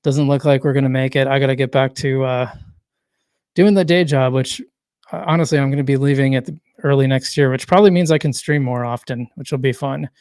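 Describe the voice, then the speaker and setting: A man chats loosely on a solo livestream, his voice at a middling pitch and starting to wear out. He rambles, restarts sentences and reads viewer comments aloud. The sound is dry and close, with little room echo. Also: steady tone, a little fast, a little mellow, clean tone. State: losing his voice. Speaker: livestream host. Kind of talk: casual solo livestream chat.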